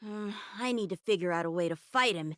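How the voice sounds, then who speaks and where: A woman's voice: fairly low pitch, fairly quick, a bit bright, no echo, clean studio sound, close to an everyday voice. A woman voicing an eager, earnest teenage boy swordsman in a game, talking in a boyish, cheerful way. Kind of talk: game character voice lines.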